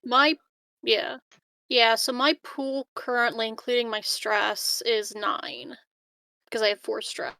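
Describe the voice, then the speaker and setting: A woman's voice: fairly high pitch, steady tone, takes it slowly, vocal fry, sharp tone, no echo, clean studio sound. A woman chatting casually as a player in a tabletop roleplaying game.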